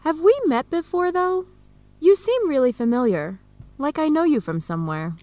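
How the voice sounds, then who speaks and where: An actress playing a caring, practical young woman researcher in a radio drama for English learners, speaking in a clear, deliberate, concerned way. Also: high voice, very animated, mellow, no echo. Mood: caring, friendly. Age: young adult.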